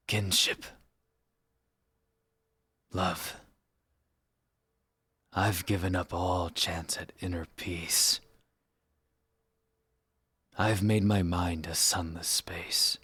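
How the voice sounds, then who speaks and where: A man playing a weary, haunted rebel in a solemn, hushed dramatic monologue. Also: low-pitched, steady tone, very slow, long pauses, slightly rough, dry recording, heavily acted. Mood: bleak, resigned. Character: weary, haunted rebel spymaster confessing his sacrifices.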